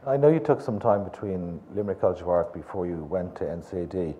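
An older Irish man interviewing an artist on stage, explaining with animated gestures. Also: deep voice, fast talker, narrow, tinny audio. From Ireland. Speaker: interviewer, gallery director. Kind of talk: public conversation on stage.

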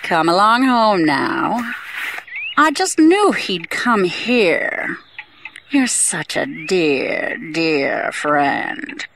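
Creepy sweet possessive wife, super slow cooing, swoopy, bit noisy. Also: female voice, lowish voice, big pitch swings, creaky, heavily acted. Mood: sugary, menacing. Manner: cooing, drawn-out, saccharine. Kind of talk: audio horror drama acting.

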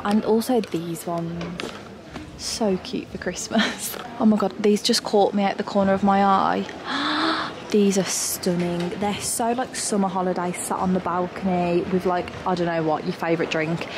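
A woman, chatting excitedly in a casual shopping vlog, filmed on a handheld phone inside big stores. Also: mid-range voice, normal speed, background noise. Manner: gushing, upbeat, lots of "like". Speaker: vlogger. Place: large indoor shopping stores. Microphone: handheld phone at arm's length. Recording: loud store ambience behind voice.

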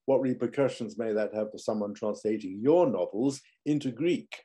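An older man chairing a video panel, reading out audience questions in a measured, polite way. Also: on the low side, dark tone, breathy edge. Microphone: video call.

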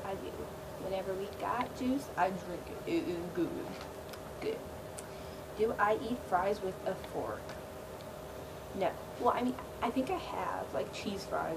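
A teenage girl vlogging from her bedroom, answering questions in a laid-back, filler-heavy way and trailing off often. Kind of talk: casual Q&A chat. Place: small bedroom, indoors. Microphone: built-in webcam mic. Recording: quiet, low-volume webcam audio with loud hiss.

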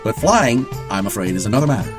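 A man playing an excitable, nervous, grandiose would-be superhero in an audio drama, halting and theatrical. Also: fairly low pitch, music in the background.

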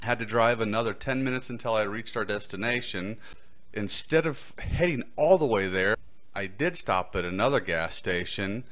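A middle-aged man speaks as a podcast host, with a somewhat low voice. He reads a prepared personal account into a close boom-arm mic in a small indoor studio. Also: normal speed, a bit bright. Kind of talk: reading a prepared personal story. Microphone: close boom-arm microphone.

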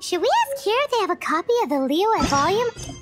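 A woman voicing a tiny, childlike, chirpy fairy companion in a video game, with a squeaky voice. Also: animated, brisk, music in the background. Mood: curious.

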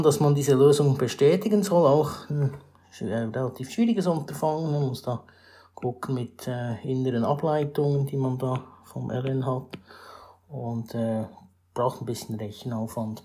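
A male math teacher with a Swiss German accent explains a math problem step by step over a screen recording, with many pauses and restarts. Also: mid-range voice, warm tone. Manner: formal address to viewers, rising emphasis on new steps.

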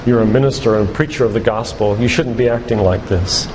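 An older man giving a sermon-like lecture in a church, speaking in an earnest, deliberate, emphatic way with repetition. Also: fairly low pitch. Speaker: doctor and guest church speaker. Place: church, live talk. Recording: echoey hall with loud background noise.

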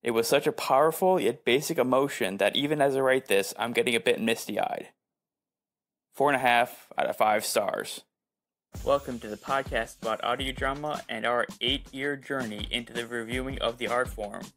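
A man's voice: mid-range voice, moderate pace, a bit bright. A man narrating a scripted audio review as a podcast host.

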